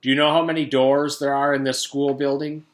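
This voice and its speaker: A man's voice: mid-range voice, level tone, brisk, pitched above the performer's own voice, natural voice. A man voicing a pompous, blustering school principal in a storybook, with an emphatic, declamatory delivery. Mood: self-important.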